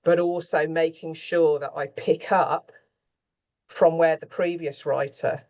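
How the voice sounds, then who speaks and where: A woman's voice: low voice, steady tone, slow pace, darkish tone, lo-fi sound. A woman speaking as an interview guest, explaining her writing work in an informal, discursive, self-interrupting way.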